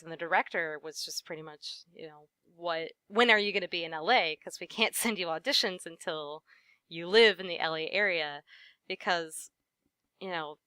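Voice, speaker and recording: A young woman talks shop in a relaxed interview, her voice crisp and smooth. She laughs easily and peppers her answers with fillers. The sound is dry and close, with a bright edge. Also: on the low side, dry recording. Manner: chatty, lots of fillers and laughs. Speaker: voice actress, interview guest. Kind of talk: casual interview chat.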